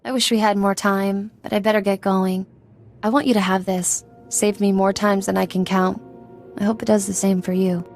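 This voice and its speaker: Tough outlaw woman, mid-pitched , acted. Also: level tone, moderate pace, a little mellow, soft background music, natural voice. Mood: wistful, fond. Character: tough, lonely female outlaw with a soft spot. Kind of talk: video game voice acting.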